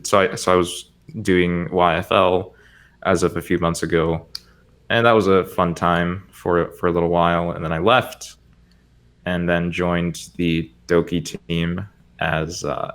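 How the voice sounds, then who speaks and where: Man, young adult, very deep voice, American accent, Spanish native speaker, casual rambling guest, filler-heavy. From United States. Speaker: podcast guest. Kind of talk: casual chat.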